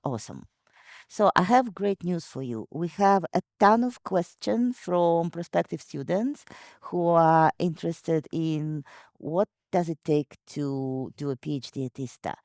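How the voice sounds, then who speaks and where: Upbeat female host on a video call; gravelly, dark tone. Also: sits low, lively intonation, unhurried, no room sound, clean studio sound. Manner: upbeat, reads out audience questions. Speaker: host, moderator. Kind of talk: hosting a virtual open day.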